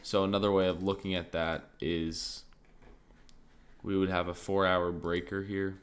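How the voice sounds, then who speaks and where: A man streaming crypto market commentary in a casual, thinking-aloud way, his voice slightly raspy and often interrupted by throat clearing. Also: deep voice, a little slow. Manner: relaxed, thinking aloud, many fillers like "like" and "um".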